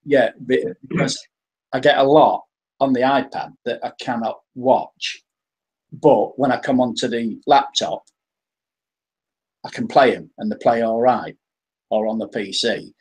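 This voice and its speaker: A man's voice: mid-range voice, steady tone, unhurried, pauses often, breathy edge, rough recording. An English man talking casually as the guest on a live-stream interview, often restarting and repeating his words. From England.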